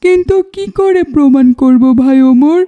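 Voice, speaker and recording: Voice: high-pitched, moderate pace, warm tone, close to an everyday voice. A voice actor playing an earnest young village schoolboy in a cartoon, explaining things plainly. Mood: concerned. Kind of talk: cartoon voice acting.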